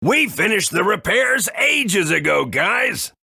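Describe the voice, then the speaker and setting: An actor voicing a loud, hearty workman in a video game, with a gravelly, raspy, folksy holler. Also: sits high, big pitch swings, slow pace, no echo, clean studio sound, exaggerated voice. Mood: hearty, eager.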